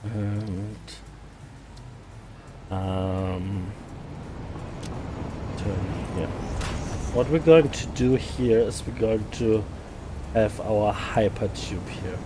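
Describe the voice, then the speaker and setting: A man from Germany with a German accent, chatting casually while playing a game, often repeating words and pausing with fillers. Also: on the low side, noisy background. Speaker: gaming streamer. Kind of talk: casual gameplay chat.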